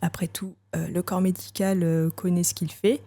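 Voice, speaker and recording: Mellow low-voiced woman, hesitant. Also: flat delivery. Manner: hesitant and reflective, with frequent filler pauses. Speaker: podcast guest sharing a personal story. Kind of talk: candid personal testimony.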